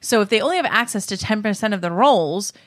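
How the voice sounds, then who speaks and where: Woman, podcast co-host, lively swooping pitch, dry sound, opinionated. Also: fast talker, no echo. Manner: animated, opinionated, eager. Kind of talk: casual podcast chat.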